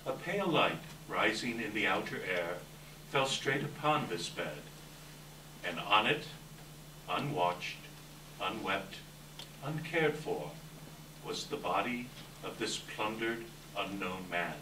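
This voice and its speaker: Echoey noisy hall recording, low voiced guy doing measured dramatic story narration. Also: expressive, sharp tone. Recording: distant echoey hall, clear background noise.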